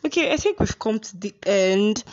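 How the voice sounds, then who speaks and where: A young woman with a Nigerian accent hosts a casual podcast chat. She is playful and teasing, laughing often, at a middle pitch. The sound is muffled and low-fidelity. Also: expressive, moderate pace, a little mellow. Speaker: podcast host.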